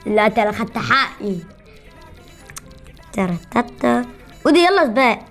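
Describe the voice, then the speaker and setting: A woman's voice: on the high side, expressive, normal speed, fairly bright tone, faint music behind. A woman with an Egyptian accent chatting casually and teasingly as she hosts a gaming video. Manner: playful, teasing. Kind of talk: casual chat while playing a game.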